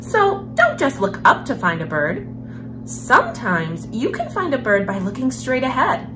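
A young woman hosting a children's story time, reading a picture book aloud in an expressive storyteller's manner. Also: fairly high pitch, unhurried, low-quality recording. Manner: expressive, animated storyteller, with hushed passages. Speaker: host of a children's read-aloud series. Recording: music behind the voice. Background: background music.

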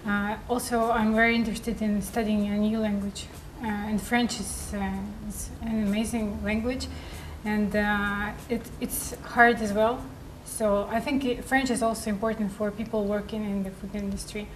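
A young woman from Russia, giving an earnest student testimonial with halting fillers. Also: medium pitch, little pitch movement, slight background noise, low-quality recording. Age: young adult. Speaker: master's student. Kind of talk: testimonial interview.

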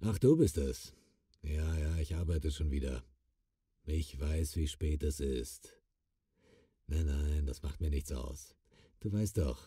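An actor playing a brooding, doom-laden horror writer in an audio drama, ominous and deliberate, often dropping to a whisper. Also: male voice, rumbling low, warm tone, slightly rough, full character voice. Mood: weary, matter-of-fact. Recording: music bed under the voice.

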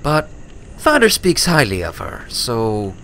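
A man's voice: medium pitch, huge pitch swings, takes it slowly, fairly bright tone, slight background noise, voice pushed up, exaggerated voice. A man voicing a smug, serious young filly pony who explains things matter-of-factly in a story reading. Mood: grudging, serious.

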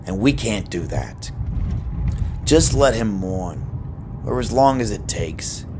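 A man voicing a blunt, world-weary survivor in a comic dub. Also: on the low side. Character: blunt, world-weary man who understands losing a spouse. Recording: noisy ambience under the voice.

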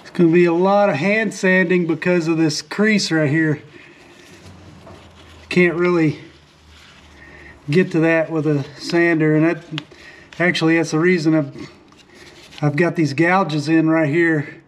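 A man with a high voice talks through his repair work on a boat deck in an easygoing, halting way, full of "uh"s and restarts. He is recorded outdoors on a first-person camera mic, with a faint hiss behind him. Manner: relaxed, plainspoken, frequent "uh" fillers and restarts. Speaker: boat refit vlogger. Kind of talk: casual how-to narration while working.

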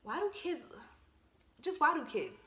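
A woman's voice: high-pitched, wildly swooping pitch, a little slow, pushed and bright, reverberant, slight background noise. A woman in her twenties giving casual, laughing commentary on a film, full of slangy asides, speaking into a wired earphone mic in a bare room. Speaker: YouTuber reacting to a film.

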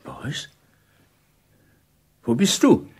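An actor playing an anxious, distrustful exiled spy with a husky, raspy voice in a radio drama. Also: male voice, very slow, a little mellow, in a whisper. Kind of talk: German radio drama acting.

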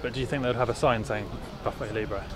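A man's voice: mid-range voice, fairly even intonation, fast talker, over music. A man vlogging in casual chat while walking outdoors along a busy street, recorded on a handheld camera. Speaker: travel vlogger. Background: loud street ambience and traffic.

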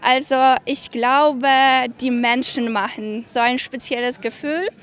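Young polish woman talking slowly outside, high voice, lots of ums. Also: fairly bright tone. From Poland. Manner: hesitant with fillers. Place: outdoors.

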